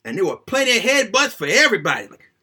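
A man reading a first-person story aloud in an animated, conversational, colloquial way, like someone recounting childhood memories. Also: high-pitched, fast, breathy edge.

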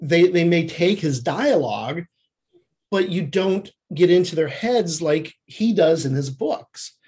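Higher-pitched, slightly husky man explaining. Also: expressive, slow pace, darkish tone. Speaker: guest screenwriter. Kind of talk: interview answers, explaining his craft.

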